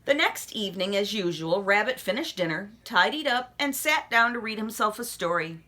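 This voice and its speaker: A woman reading a children's story aloud as an expressive narrator. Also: medium pitch, normal speed. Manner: animated, addresses young listeners with questions.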